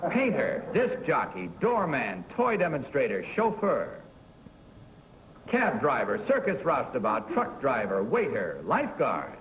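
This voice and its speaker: A man hosts a 1950s television game show in a brisk, genial announcer's manner. His voice sits a little high and swings lively up and down. A steady hiss hangs over the old broadcast recording. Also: low-quality recording.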